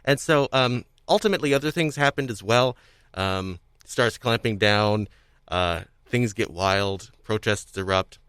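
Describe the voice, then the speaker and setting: A man hosting a podcast, talking casually about audio dramas in a halting, hedging way and often chuckling. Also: lowish voice, a bit bright, clean tone, dry recording. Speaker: podcast host.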